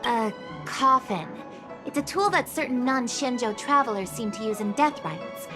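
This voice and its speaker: Female actor, spirited teasing young woman, high and animated, game cutscene with music. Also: moderate pace, natural voice. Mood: curious. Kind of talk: game voice acting.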